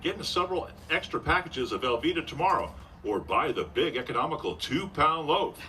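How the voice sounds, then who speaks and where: An actor playing a polished, upbeat Golden Age radio announcer, delivering scripted narration and sponsor copy. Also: male voice, a little high, lively intonation, a little fast, pushed and bright. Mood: upbeat, selling. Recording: distant stage mic, faint noise.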